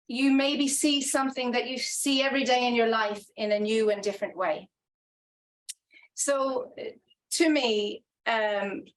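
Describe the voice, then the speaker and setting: A woman's voice: fairly high pitch, poor audio. Hesitant woman giving a conference talk. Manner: hesitant, many restarts and ums. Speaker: academic researcher presenting.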